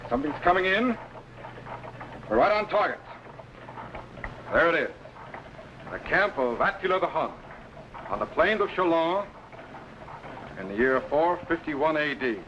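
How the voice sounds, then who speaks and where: An actor playing a commanding time-travel captain in a 1950s TV serial, giving brisk, businesslike orders. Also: male voice, on the high side, very animated, a bit bright, dull audio, slight background noise. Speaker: lead of the series.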